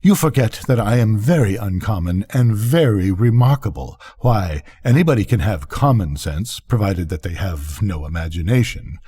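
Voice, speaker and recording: Older man playing vain male rocket, storybook, pompous, indignant, wide-swinging pitch, husky. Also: animated, moderate pace, hardly any pauses, warm tone.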